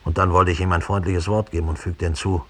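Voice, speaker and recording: Husky, low-voiced embittered killer confessing. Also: male voice, flat delivery. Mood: brooding, bitter. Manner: slow, deliberate, brooding storytelling. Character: cold, embittered middle-aged German killer confessing his murder. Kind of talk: 1960s German radio play monologue.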